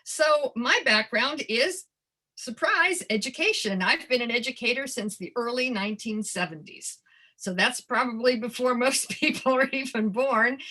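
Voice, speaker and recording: A woman's voice: on the high side, normal speed, bright, forceful tone. An older woman, sixties or above, an educator giving a webinar presentation, addressing her listeners directly and stressing key phrases. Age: 60s or older. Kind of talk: webinar presentation to childcare providers.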